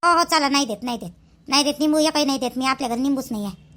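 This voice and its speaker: A man's voice: very high voice, racing, sharp tone. A man voices a nagging, long-suffering village wife in a cartoon, insistent and repetitive, with a Vidarbha Marathi accent. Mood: exasperated.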